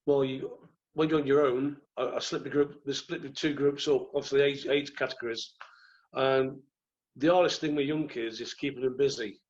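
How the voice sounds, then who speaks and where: A croaky-voiced man, telling rambling stories as a podcast guest. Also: medium pitch, steady tone, moderate pace, darkish tone, highs cut off. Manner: rambling, restarts often. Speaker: guest, former goalkeeper. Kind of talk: relaxed anecdotal chat.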